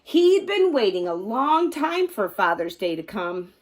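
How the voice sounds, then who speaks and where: A woman's voice: medium pitch, big pitch swings, a little slow. A woman storyteller reading a children's picture book aloud expressively, acting out the sound words with drama.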